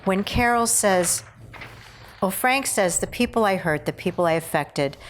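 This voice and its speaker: A woman, an actor, casually discussing a script and pausing tentatively as she explains. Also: mid-range voice, animated, slight background noise. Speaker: actor at a table read.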